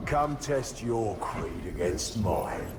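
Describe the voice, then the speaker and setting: A menacing game villain, a serial killer who taunts his prey with relish. The voice sits low and swoops theatrically, with loud noise behind it. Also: expressive. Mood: bitter taunting. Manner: theatrical, taunting, drawn-out delivery. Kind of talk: video game villain acting.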